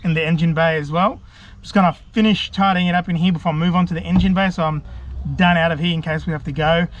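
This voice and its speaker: A man's voice: on the high side, fairly even intonation, quick pace, lo-fi sound, a little hiss or hum. A man giving a laid-back, self-deprecating hands-on DIY tutorial, chatting colloquially to his viewers. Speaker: vlogger presenting a DIY car install.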